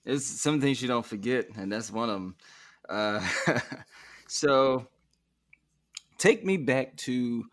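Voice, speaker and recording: A man hosting a podcast interview, easygoing, asking long, rambling questions. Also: medium pitch. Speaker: podcast host. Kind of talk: casual interview.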